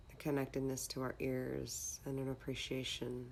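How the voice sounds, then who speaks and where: A woman leading a guided meditation in a calm, measured, instructional manner. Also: a bass voice, creaky, a little hiss or hum.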